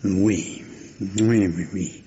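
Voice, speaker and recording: Sceptical police inspector, husky, hesitant and courteous, old radio mystery, dull lo-fi sound. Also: male voice, sits low, steady tone, drawn-out words, mellow, full character voice. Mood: probing, hesitant. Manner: hesitant, courteous, roundabout questioning with repeated words. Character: polite, probing, sceptical male police inspector. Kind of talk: 1950s French radio mystery acting. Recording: dull, lo-fi vintage radio recording.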